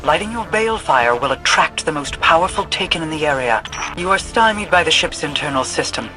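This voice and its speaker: A man's voice: a little high, animated, brisk, lightly acted. A man playing a grave, commanding mystic war leader in a video game, with formal, portentous delivery. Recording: music behind the voice.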